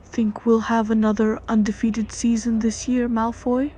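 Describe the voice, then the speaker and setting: Even-toned woman reading a roleplay story. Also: fairly high pitch, unhurried, slight background noise, low-quality recording. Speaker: story reader. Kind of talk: scripted roleplay narration with dialogue.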